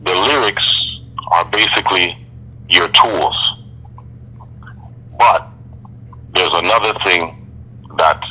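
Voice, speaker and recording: A man being interviewed as a guest MC, speaking in a deliberate, reflective way with measured phrasing. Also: low voice, pushed and bright, poor audio. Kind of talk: podcast interview.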